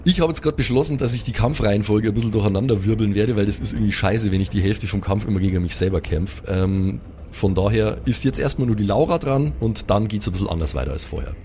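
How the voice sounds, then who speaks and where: A man's voice: lowish voice, quick pace, few pauses, warm tone, slight background noise. A man improvising vivid, mock-dramatic narration as the game master of a tabletop role-playing game.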